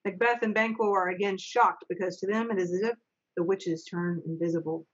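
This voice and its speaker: A woman's voice: fairly low pitch, brisk. A middle-aged woman acting as narrator and stage manager, reading stage directions aloud over a lo-fi video call. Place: home office, indoors. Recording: dull lo-fi video-call audio.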